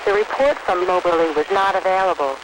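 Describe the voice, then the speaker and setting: A man's voice: high voice, brisk. A synthetic-sounding man reads formal weather reports impersonally as an automated announcer heard over radio. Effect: radio broadcast reception. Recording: faintly noisy weather-band radio reception.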